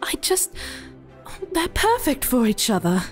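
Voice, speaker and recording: A woman playing a sentimental, soft-hearted good fairy in a fairy-tale audio drama, gushing and tearful. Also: sits high, wildly swooping pitch, unhurried, faint music behind, full character voice. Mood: tearful, happy.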